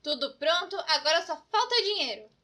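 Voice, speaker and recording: A woman with a Brazilian accent voicing an excitable, scatterbrained best friend in a children's comedy cartoon, dramatic and full of exclamations. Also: very high voice, a little fast, exaggerated voice. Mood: fretting. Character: excitable, scatterbrained, over-prepared young female best friend.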